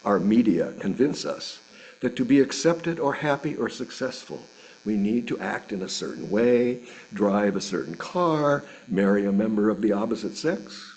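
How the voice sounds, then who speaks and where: A man of middle age or beyond reads a prepared sermon in a fairly low voice. His intonation rises and falls widely as he moves through deliberate, measured phrases, pausing for rhetorical weight. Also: lively intonation, darkish tone, rough recording. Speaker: reader of a sermon at a church service. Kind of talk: sermon read aloud from a script.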